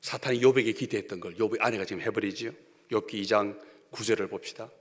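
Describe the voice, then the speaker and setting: A male pastor with a Gyeongsang accent giving an emphatic sermon, swinging between loud declarations and hushed asides. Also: lowish voice, steady tone, fast talker. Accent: Gyeongsang Korean. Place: church sanctuary. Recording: long church hall reverb.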